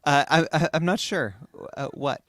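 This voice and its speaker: A woman's voice: low voice, normal speed, no room sound, clean studio sound. A woman singer performing a live acoustic set and chatting hesitantly between songs. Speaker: singer and musician. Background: guitar music.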